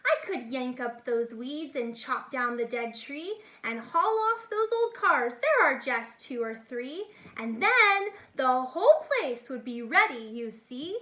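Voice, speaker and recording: An eager storybook boy acted out by a woman barks his circus attractions like a proud showman. The delivery is bright, singsong and unhurried, in an echoey room. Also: sits high, very animated, full character voice. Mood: eager, scheming. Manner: grandiose showman's barking, singsong rhymes. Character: young boy dreamer, boastful eager circus ringmaster. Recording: echoey, reverberant room sound.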